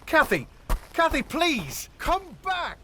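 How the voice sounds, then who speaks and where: An actor playing a fussy, easily affronted young gentleman suitor, supercilious and indignant, in a period audio drama. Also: high-pitched, wildly swooping pitch, slow pace, slight background noise, heavily acted. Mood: pleading.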